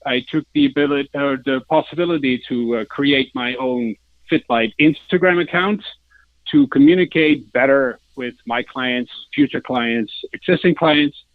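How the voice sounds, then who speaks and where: A man with a Dutch accent talks as an interview guest in sales. His delivery is halting and informal, at an average male pitch. The recording is dry and somewhat dull, like a band-limited call. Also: dry recording. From Netherlands.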